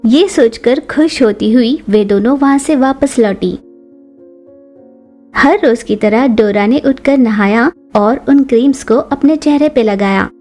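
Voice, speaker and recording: Casting: woman storyteller for kids' cartoons, fairly high voice with a warm, dark-edged softness. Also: a little slow, soft background music. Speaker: narrator. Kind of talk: scripted children's story narration.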